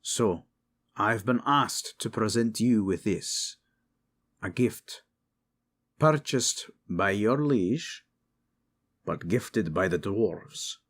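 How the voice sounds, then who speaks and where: A man's voice: fairly low pitch, expressive, extremely slow, pauses often, heavily acted. A man voicing a dour but generous dwarf king with a blunt, commanding way, in a fantasy audio drama. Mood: solemn.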